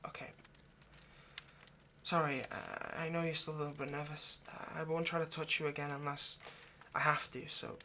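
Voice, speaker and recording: Man playing an anxious lovesick vampire, bright-voiced. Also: fairly high pitch, flat delivery, slight background noise. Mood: nervous, gently pleading. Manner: halting, stammering, pleading. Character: anxious, lovesick male vampire kidnapper, centuries old but youthful. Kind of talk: intimate ASMR audio roleplay.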